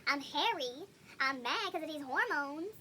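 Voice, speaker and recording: A woman's voice: high-pitched, animated, unhurried, sharp tone, voice pushed up, heavily acted. A woman putting on a whiny, dramatic young girl going through puberty, in an exaggerated gushing manner, for a comic online talk. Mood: exasperated. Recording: noisy home recording.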